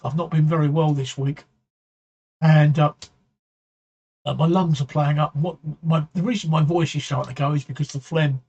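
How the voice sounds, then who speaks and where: Hoarse, husky man chatting on a stream. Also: fairly high pitch, steady tone, a little mellow, lo-fi sound. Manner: halting, repeats words, often clears throat. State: voice getting hoarse. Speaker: livestream talk show host. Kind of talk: casual livestream chat answering viewer comments.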